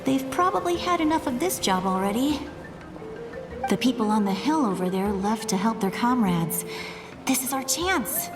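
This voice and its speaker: A woman's voice: fairly high pitch, expressive, normal speed, music in the background, close to an everyday voice. An actress playing a spirited young woman in a video game, talking herself through sneaking past guards.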